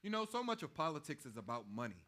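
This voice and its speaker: An actor playing a courteous, image-conscious politician in a staged play reading, measured and placating. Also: male voice, a little high, sing-song, pushed and bright. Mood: earnest.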